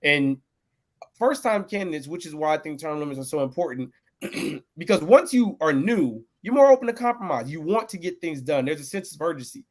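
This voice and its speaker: Fast-talking animated man, casual slang. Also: fairly high pitch. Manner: animated, slangy, drops into mock dialogue. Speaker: political candidate. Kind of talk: casual political chat.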